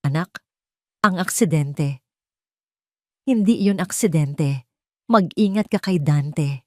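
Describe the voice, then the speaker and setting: A woman's voice: deep voice, slow pace, dry recording, clean studio sound. Woman reading as remorseful, loving father. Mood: remorseful, urgent. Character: remorseful, loving father warning his daughter by letter.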